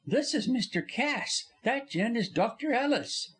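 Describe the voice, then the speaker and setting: A man voicing a cheerful, chatty young actress in a high, babbling voice. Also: lively intonation, a little slow, fairly bright tone, husky, exaggerated voice. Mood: jovial. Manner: bubbly, babbling, high-pitched.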